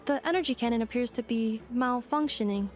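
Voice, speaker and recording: A woman voicing a polite female robot guide in a video game, formal, measured and analytical. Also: a little high, lightly acted. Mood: matter-of-fact. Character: polite, precise young female robot guide, literal and composed. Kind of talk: video game character dialogue. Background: music.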